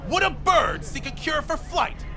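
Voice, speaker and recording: An actor playing a fanatical, paranoid street prophet in a video game, preaching conspiracy theories with theatrical, defiant grandeur. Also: male voice, very high voice, lively intonation, measured pace, over music, exaggerated voice. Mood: fervent, defiant.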